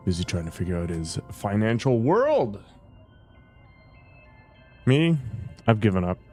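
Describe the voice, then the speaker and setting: A man's voice: fairly low pitch, darkish tone. Joking, crude man streaming a game. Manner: joking, crude, self-mocking. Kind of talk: casual gameplay commentary to chat.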